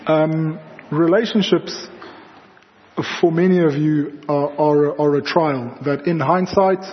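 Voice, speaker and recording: A man's voice: on the high side, slow pace, slight background noise. A young South African man, native Afrikaans speaker, preaching a sermon in a large church and telling personal stories in a conversational way with fillers. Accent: South African English. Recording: dull sound with long hall reverb.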